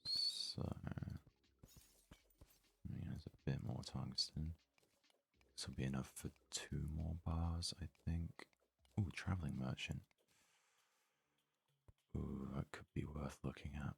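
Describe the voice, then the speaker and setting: A man giving hushed, unhurried ASMR gaming commentary, murmuring as if thinking aloud in a husky, breathy voice. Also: a bass voice, steady tone. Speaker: let's play host.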